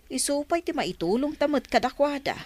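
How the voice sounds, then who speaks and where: An actress playing a sympathetic, supportive older village wife in a radio drama. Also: female voice, sits high, lively intonation, moderate pace, a little mellow, no room sound.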